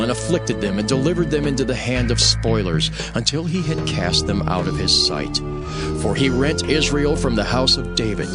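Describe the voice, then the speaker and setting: A man narrating scripture in a solemn, emphatic, dramatized storytelling style. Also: lowish voice.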